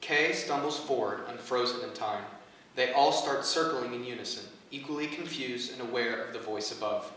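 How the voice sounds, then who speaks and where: Guy reading stage directions, mid pitch, really echoey hall, bit far from the mic, faint noise. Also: moderate pace, a bit bright. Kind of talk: scripted narration at a staged reading.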